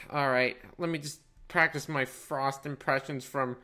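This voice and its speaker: A hoarse man chatting casually on a voice call, giggly and self-mocking. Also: medium pitch, steady tone, measured pace, pushed and bright. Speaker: host. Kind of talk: casual voice-chat comedy.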